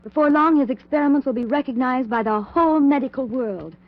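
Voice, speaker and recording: An actress playing a scientist's devoted, zealous wife in a 1940s radio drama, ardent and persuasive, with proper diction. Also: female voice, sits high, fairly quick, lightly acted. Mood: fervent. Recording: dull 1940s radio recording.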